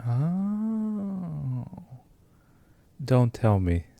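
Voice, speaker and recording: A man's voice: on the high side, big pitch swings, extremely slow, vocal fry, mellow, no room sound. A man giving casual playthrough commentary on a game, reacting in an emotionally invested, murmured way. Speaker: gamer reacting to a visual novel.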